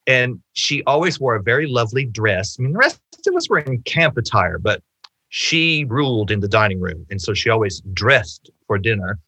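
A middle-aged man from the southern United States on a video call from his home office, telling anecdotes with expressive, deliberate emphasis. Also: medium pitch, dry recording. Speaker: storyteller, interview guest. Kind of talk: anecdotal storytelling in conversation. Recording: video call with music behind.

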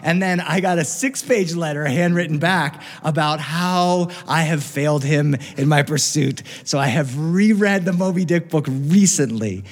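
A middle-aged man with an American accent tells a personal story to a live recording. His voice sits a little high, and he is expressive, leaning on dramatic pauses. A long reverb trails behind his words.

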